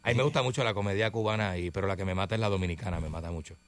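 A man's voice: deep voice, no echo. A man co-hosting a radio show, agreeing with the host and chiming in deferentially. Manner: agreeing, deferential, quick back-channel. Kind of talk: casual radio banter. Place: radio studio.

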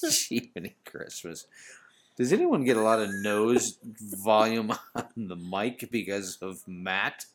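A man's voice: lowish voice, very animated, slow. A man hosting a podcast, joking and rambling with friends while tasting bourbon, laughing often. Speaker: podcast host. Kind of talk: casual chat over bourbon tasting.

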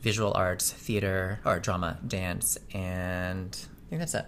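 A man's voice: deep voice, fairly even intonation, measured pace, a bit bright. Canadian man chatting over background noise. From Toronto, Canada. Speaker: interview guest, fashion designer. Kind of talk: casual chat, recounting personal history.

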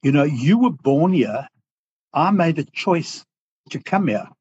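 A man's voice: fairly high pitch, animated, unhurried, darkish tone, husky, no room sound, highs cut off. A man with a South African accent, a business owner speaking as a podcast guest, earnest and reflective, often restarting his sentences. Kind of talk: podcast interview.

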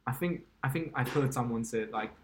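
A young man from Taiwan speaks at a mid-range pitch as a podcast co-host. He rambles loosely through his points, stacking fillers and restarting sentences. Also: fairly quick. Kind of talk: casual chat.

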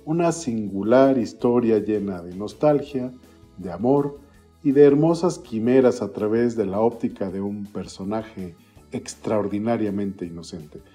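A man hosting a literary podcast, reading an introduction and an author biography in a formal, measured way. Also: on the low side, dark tone, faint music behind. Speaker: podcast host.

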